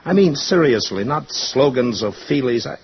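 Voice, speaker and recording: Earnest, husky-voiced loyal friend. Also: male voice, mid-range voice, animated, moderate pace. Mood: earnest, troubled. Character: earnest, troubled male writer, loyal and cautioning friend. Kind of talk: mid-century radio drama acting. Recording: dull, lo-fi old radio recording.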